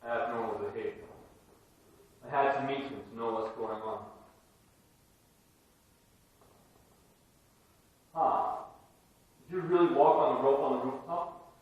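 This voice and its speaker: A man's voice: mid-range voice, normal speed, long pauses, highs cut off, lightly acted. A man playing an earnest, probing counselor in a dramatic stage reading, asking hesitant, concerned questions. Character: earnest, probing counselor investigating refugee students. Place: live reading in an echoey performance space. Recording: very echoey room, far from mic.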